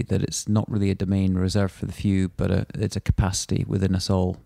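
A man hosting a podcast, reading a scripted guest introduction and then asking measured, earnest questions. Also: sits low, gravelly, mellow, no echo, clean studio sound. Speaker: podcast host. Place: worked in London.

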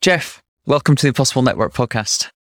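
A man hosting a podcast, who reads his intro smoothly and chats with small restarts. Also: medium pitch, fast talker, dry recording, studio quality. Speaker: podcast host. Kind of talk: interview podcast with scripted intro. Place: home in Brooklyn, remote call.

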